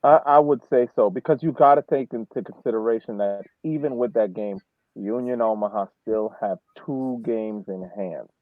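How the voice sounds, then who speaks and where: A man's voice: on the low side, normal speed, dry recording. A man giving casual sports analysis as a podcast panelist, explaining his points step by step. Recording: dull phone-like band-limited audio.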